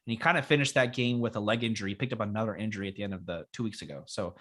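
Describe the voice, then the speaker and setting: A young man co-hosting a casual fantasy football show, his voice raspy from being sick, talking in a relaxed, rambling way with many fillers. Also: fairly low pitch, rapid-fire. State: raspy after illness. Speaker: podcast co-host. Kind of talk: casual sports chat.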